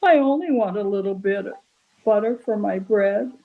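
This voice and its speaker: A woman's voice: mid-range voice, moderate pace, phone-quality sound, lightly acted. A woman voicing a plaintive, sighing, fussy king in a storybook poem. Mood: plaintive, sulky. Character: whimpering, particular king fond of butter, male.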